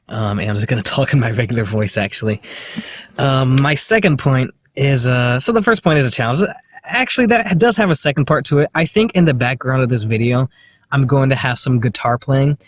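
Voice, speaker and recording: A man with a slight lisp, talking to the camera in a halting, hesitant way, full of fillers and restarts. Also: mid-range voice, slight background noise. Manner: quiet, self-deprecating. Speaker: vlogger. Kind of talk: casual self-recorded monologue.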